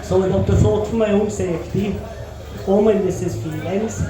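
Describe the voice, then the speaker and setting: A young man doing a stand-up set on a comedy club stage, with crude, deadpan punchlines and lots of swearing. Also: sits high, moderate pace, heavy reverb, a crowd in the background, rough recording.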